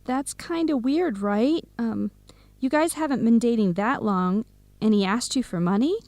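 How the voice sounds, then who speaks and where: An actress playing a caring, outspoken young best friend in a radio drama. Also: female voice, a little high, unhurried, a little mellow, no room sound. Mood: curious. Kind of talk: radio drama acting, simulated video call.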